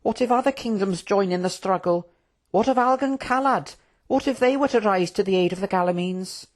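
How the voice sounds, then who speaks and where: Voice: mid-range voice, a little fast, voice pushed up, close to an everyday voice. A narrator voicing an earnest, principled young prince in a fantasy audiobook, speaking formally with pleading indignation. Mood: worried.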